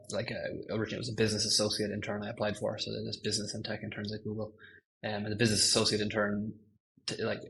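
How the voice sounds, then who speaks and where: Irish guy, thirties, podcast guest; low steady voice, chatty, lots of ums and restarts. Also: low-quality recording. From Ireland. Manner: rambling and self-correcting, many ums, laughs easily. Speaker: guest, machine learning engineer. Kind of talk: casual podcast chat.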